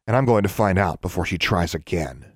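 A man voicing a headstrong schoolgirl witch who is quick to argue, in an audiobook. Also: deep voice, expressive, breathy edge, dry recording, clean studio sound. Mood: insistent. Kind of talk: audiobook character voice.

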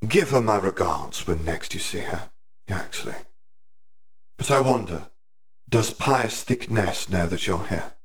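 A man voicing a cold, controlled masked headmaster who speaks with quiet menace. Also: deep voice, expressive, measured pace, breathy edge, full character voice.

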